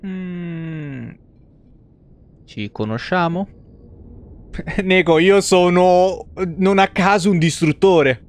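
A young man doing casual, animated live game commentary in slangy language with frequent swearing. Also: high voice, drawn-out words, pauses often. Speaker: game streamer.

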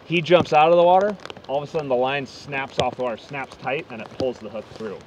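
A man's voice: mid-range voice, brisk, lo-fi sound, noisy background. A man giving informal, step-by-step fly fishing instruction as a guide, outdoors on a river. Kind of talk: casual hands-on instruction.

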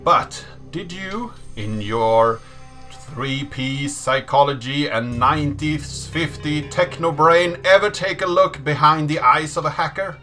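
A man in his thirties presenting in a deliberate, measured way, as in a dramatic reading. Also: fairly high pitch, pushed and bright, faint music behind. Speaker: host of a programming channel. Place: small indoor room at a desk.

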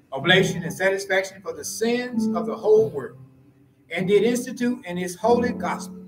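A man serving as pastor, reciting a communion liturgy in a slow, solemn, measured way from the pulpit of a church sanctuary. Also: high voice. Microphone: pulpit mic from far camera. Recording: roomy church echo.

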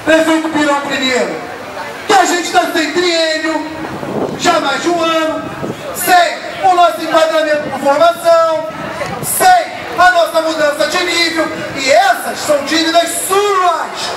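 A young man with a very high, strained voice shouts an accusatory rally speech into a handheld microphone. His voice booms through a loudspeaker and is picked up from far off, echoing around an open street. Crowd chatter and traffic noise sit underneath. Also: steady tone, a little slow. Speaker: protest speaker. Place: outdoor street protest in front of city hall. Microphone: handheld microphone through loudspeaker, filmed from a distance.

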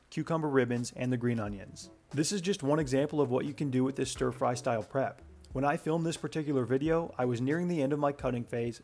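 A man in his twenties narrating a cooking tutorial as a voice-over, brisk and matter-of-fact with dry humor. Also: medium pitch, gravelly, darkish tone. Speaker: cooking channel host.

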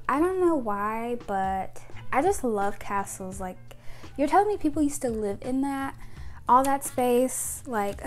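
A young woman with a soft, slightly high voice talks casually to camera from her bedroom, wandering into chatty asides with little giggles. She speaks into a clip-on mic. Faint music plays underneath. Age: young adult. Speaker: vlogger. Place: bedroom, indoors. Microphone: clip-on mic after the first part.

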